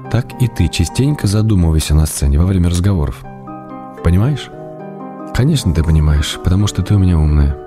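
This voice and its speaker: An actor playing a middle-aged Russian writer, tender and wry, reading love letters aloud in a radio play. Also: male voice, low-pitched. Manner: affectionate, gently teasing, measured. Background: music.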